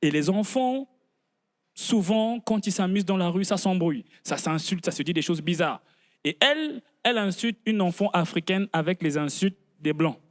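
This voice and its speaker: Stand-up comic, young man, Congolese accent, high voice, lively storyteller who breaks into laughs. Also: normal speed. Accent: Congolese French. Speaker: stand-up comedian. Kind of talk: stand-up routine.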